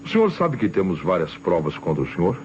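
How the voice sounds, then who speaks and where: A shrewd police inspector in a vintage radio mystery, with a very deep, deliberate voice. He sounds composed and authoritative through a muffled recording with light noise. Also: wildly swooping pitch. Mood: probing. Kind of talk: old radio crime drama acting.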